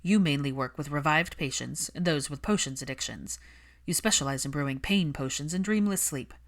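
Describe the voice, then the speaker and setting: Audiobook role: posh, icy young man read by a woman, low voice, dry clipped delivery, easily irritated. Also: normal speed. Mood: cold, challenging. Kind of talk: audiobook character dialogue.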